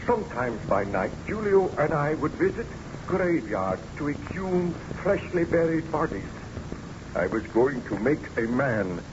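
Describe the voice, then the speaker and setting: An actor playing an obsessed, weary scientist in a theatrical, deliberate old-time radio drama style, on a muffled, noisy recording. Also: male voice, fairly high pitch. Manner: theatrical, deliberate, brooding narration.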